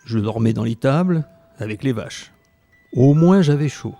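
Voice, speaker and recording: A man's voice: medium pitch, expressive, measured pace, dark tone, faint music behind. A man playing a plain-spoken survivor who narrates his wartime youth reflectively in an audio drama. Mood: reflective, wistful. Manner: reflective storytelling. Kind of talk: scripted first-person narration in audio drama.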